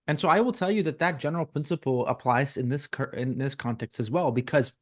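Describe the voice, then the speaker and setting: A man co-hosting a medical case conference who talks in a measured, deliberate, reflective way. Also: medium pitch. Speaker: co-host, teacher. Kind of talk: reflective teaching talk. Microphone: video call.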